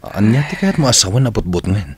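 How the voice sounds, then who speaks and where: Low-voiced, brooding older husband. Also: male voice, big pitch swings, fairly quick, husky, dry recording, heavily acted. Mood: shocked, questioning. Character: bitter older husband, brooding over past wrongs. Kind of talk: Ilocano radio drama acting.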